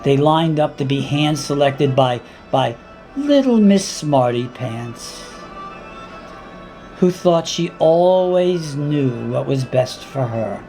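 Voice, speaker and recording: A man reading his own dramatic monologue in a deliberate, intense spoken-word style, with weighty pauses and repeated words. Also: a little high, lively intonation, warm tone, faint music behind.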